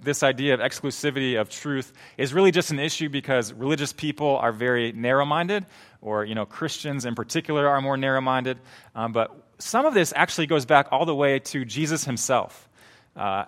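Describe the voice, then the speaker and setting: An earnest young man delivering a sermon as a pastor. His voice sits at an ordinary male pitch, and he talks in a relaxed, conversational way, often restarting his sentences.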